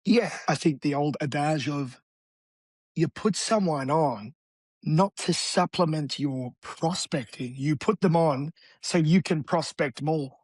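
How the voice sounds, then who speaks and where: A man, a real estate agent talking as an interview guest in an energetic, blunt, casual way. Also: a little high, slow, dry recording. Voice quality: raspy.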